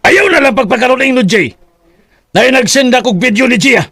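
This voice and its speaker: An actor playing an angry older father-in-law in a radio drama, scolding with a gravelly, husky voice. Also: extremely high pitch, moderate pace, pushed and bright, no echo, studio quality, heavily acted. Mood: enraged. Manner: scolding, accusing. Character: furious old father-in-law, grandfather. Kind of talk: Bisaya radio drama acting.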